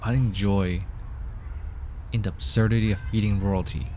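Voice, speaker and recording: A man's voice: lowish voice, steady tone, normal speed, a little mellow, a little hiss or hum, natural voice. A man playing an affectionate, playful boyfriend in a scripted ASMR roleplay, speaking with whimsical mock grandeur. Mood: playful, tender. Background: park ambience sound effects.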